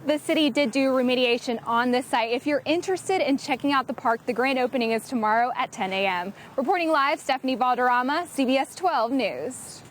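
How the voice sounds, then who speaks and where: A woman reports local television news in a high voice. She reads scripted lines as a field reporter would, addressing viewers. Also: brisk, hardly any pauses, a little hiss or hum. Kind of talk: scripted news package narration and live report.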